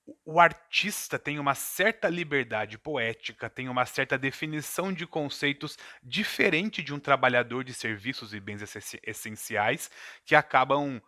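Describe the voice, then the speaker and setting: A man's voice: medium pitch, moderate pace, sharp tone, no echo, clean studio sound. A Brazilian man, a game master, giving a thoughtful, digressive talk to a live chat from home, with asides and self-corrections. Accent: Brazilian Portuguese. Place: home room in front of a camera. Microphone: camera mic.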